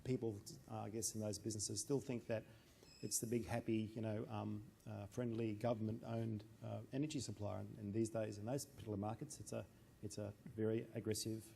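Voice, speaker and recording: Gravelly man, quick and halting. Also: lowish voice, level tone, dark tone, a little hiss or hum. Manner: hesitant, many ums and restarts. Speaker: panelist, business energy adviser. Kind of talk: panel answer. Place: conference room.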